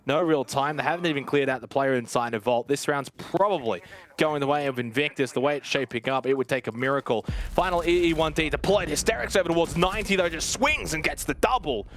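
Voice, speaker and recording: A man's voice: on the high side, quick pace, a bit bright, soft background music. A man giving chatty, explanatory color commentary on an esports match, talking straight to the viewers. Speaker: esports analyst.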